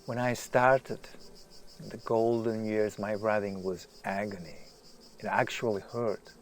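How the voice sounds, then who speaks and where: An actor playing an anguished, self-doubting writer in an audio drama, intense and brooding, moving between hushed and emphatic delivery. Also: male voice, lowish voice, fairly even intonation, a little slow, lightly acted. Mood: agitated. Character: anguished, self-doubting famous male writer, restless and self-deprecating. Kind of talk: naturalistic audio drama monologue. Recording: clear background hiss, outdoor nature ambience.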